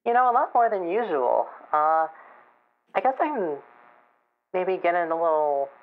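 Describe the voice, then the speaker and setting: A woman playing a lonely, gentle chicken farmer calling in to a radio show, hesitant and halting with nervous chuckles, over a muffled call. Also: on the low side, big pitch swings. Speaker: caller.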